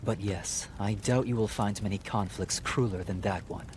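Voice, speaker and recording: An actor playing a solemn, measured man who recounts a war formally in a fantasy game. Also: fairly low pitch, darkish tone, a little hiss or hum, natural voice. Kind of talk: dramatic storytelling in a fantasy game cutscene.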